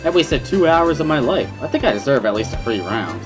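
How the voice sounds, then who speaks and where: Guy doing a put-upon student booth guy, friendly, kinda grumbly, really animated. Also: medium pitch, brisk, music in the background, pitched above the performer's own voice, lightly acted. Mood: grumbling.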